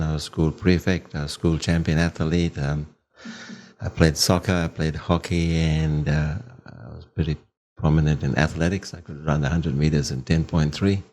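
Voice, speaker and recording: An older man from Malaysia, a podcast guest, telling his life story in an unhurried, plain way, sometimes repeating words as he starts a thought. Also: rumbling low, steady tone, a little mellow. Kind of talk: relaxed storytelling in conversation.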